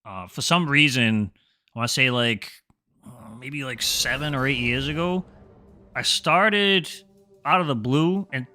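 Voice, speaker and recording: A man's voice: medium pitch, unhurried, bright, forceful tone, faint music behind. A man from New England with a Boston accent, chatting casually with viewers while streaming a game, dropping his R's and filling his talk with "like". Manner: rambling, filler-heavy, drops his R's. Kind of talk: casual gaming livestream chat.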